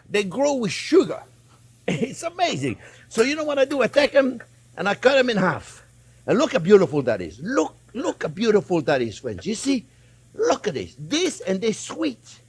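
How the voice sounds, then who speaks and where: Chatty French chef, lively husky voice. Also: male voice, high voice. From France. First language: Italian. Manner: chatty, enthusiastic, addresses viewers as friends. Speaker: chef host. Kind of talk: cooking demonstration. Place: home kitchen.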